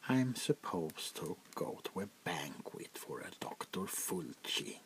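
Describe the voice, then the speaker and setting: A man playing a jaded, sardonic aristocratic vampire prince in a soft-spoken ASMR roleplay, gravelly and often dropping to a whisper, languid and mockingly courteous. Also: low voice, animated, takes it slowly. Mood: weary.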